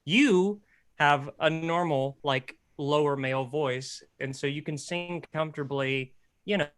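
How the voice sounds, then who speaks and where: A man with a high speaking voice, casually coaching others on singing in a group chat. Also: a little slow, clean tone. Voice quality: self-described high voice. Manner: animated, explains with sung examples. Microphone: close mic.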